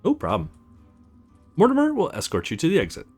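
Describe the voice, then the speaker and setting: A man voicing a dignified, wry, ancient vampire lord in a visual novel, speaking in a formal, measured way. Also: high-pitched, wildly swooping pitch, a little mellow, breathy edge, faint music behind, pitched above the performer's own voice, heavily acted. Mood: gracious. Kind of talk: visual novel character voice read aloud.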